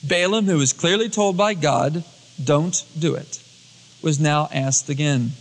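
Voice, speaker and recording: High-pitched male pastor in a reverberant hall. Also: a little slow. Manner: dramatizes dialogue in everyday colloquial words. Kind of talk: storytelling sermon. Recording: long hall reverb, cassette tape transfer.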